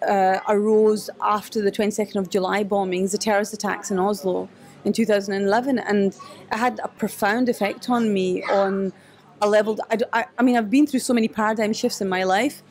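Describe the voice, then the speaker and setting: A woman's voice: mid-range voice, a little mellow. A woman answering interview questions in a cafe, thoughtful and hesitant, with many restarts. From Scotland. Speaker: guest, photographer and activist.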